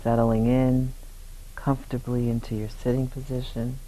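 A man leading a guided meditation as a teacher, speaking gently in short, unhurried phrases with long pauses. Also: medium pitch, flat delivery, poor audio.

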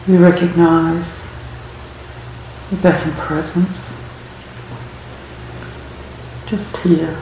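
Very slow, mellow woman guiding meditation, echoey room. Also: fairly low pitch. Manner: calm, unhurried, with long pauses. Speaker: meditation teacher. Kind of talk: guided meditation. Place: large room at a retreat center. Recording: very echoey room, faint hiss.

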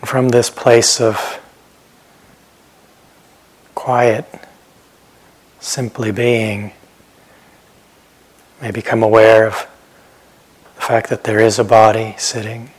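A man giving guided meditation instructions as a teacher, speaking gently and unhurriedly with pauses and phrases that trail off softly. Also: on the low side, flat delivery, poor audio. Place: meditation retreat center.

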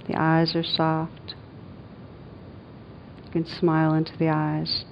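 A woman with a fairly low voice leads a guided meditation, speaking gently and soothingly. She leaves long, unhurried pauses between phrases. The recording is somewhat dull, with a faint rumbling noise behind her. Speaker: meditation teacher.

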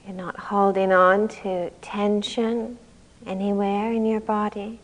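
Calm woman guiding a meditation. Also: mid-range voice, poor audio. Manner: calm, gentle, unhurried instruction with long pauses. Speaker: meditation teacher. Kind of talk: guided meditation.

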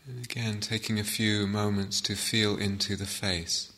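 A man giving guided meditation instructions as a teacher, gently and unhurriedly, with long pauses. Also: lowish voice, little pitch movement.

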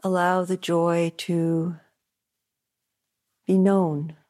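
Slow, mellow woman guiding meditation. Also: fairly low pitch, level tone. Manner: soft-spoken with long pauses, repeating phrases. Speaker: meditation teacher. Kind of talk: guided meditation. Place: meditation room at a retreat center.

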